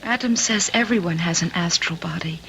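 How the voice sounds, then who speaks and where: A woman's voice: fairly low pitch, brisk, gravelly, fairly bright tone, slight background noise, rough recording. An actress playing an anxious, fed-up wife in an old-time radio drama, earnest, skeptical and exasperated with her husband. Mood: uneasy.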